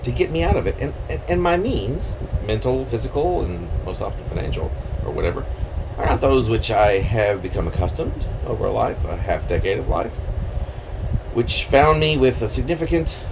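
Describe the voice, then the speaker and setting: A man's voice: mid-range voice, animated, background noise. Man reciting poetry in wide swooping tones. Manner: theatrical, halting, with swooping emphasis. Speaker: poet reading own poem. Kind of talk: dramatic poetry reading.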